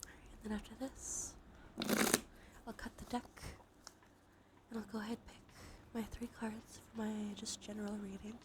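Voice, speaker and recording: A woman livestream host speaking in a soft, breathy, often whispered voice, murmuring casually and unhurriedly to viewers in an ASMR tarot style. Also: a little high, little pitch movement, moderate pace, pauses often. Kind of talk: ASMR tarot reading stream.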